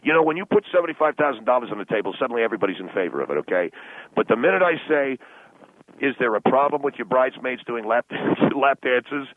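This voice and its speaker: A man's voice: mid-range voice, expressive, quick pace, slight background noise, lightly acted. A man playing a brash, miserly middle-aged ad executive and father of the bride, blustering and argumentative on a radio call-in comedy show. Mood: indignant, blustering. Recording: band-limited tinny phone line.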